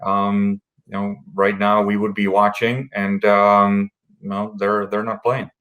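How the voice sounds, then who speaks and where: A man from the Czech Republic, a hockey executive, answering interview questions in a halting way with many fillers. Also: fairly low pitch, monotone, a bit bright.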